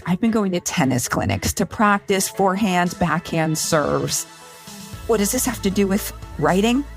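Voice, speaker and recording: A middle-aged woman presenting a scripted podcast promo, addressed straight to listeners. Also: on the low side.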